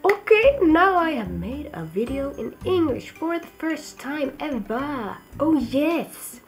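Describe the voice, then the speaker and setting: Lively playful woman with Swedish accent. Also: high voice, slow. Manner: chatty, playful, giggly. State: ill. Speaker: vlogger. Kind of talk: casual chat to camera. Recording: background music under the voice.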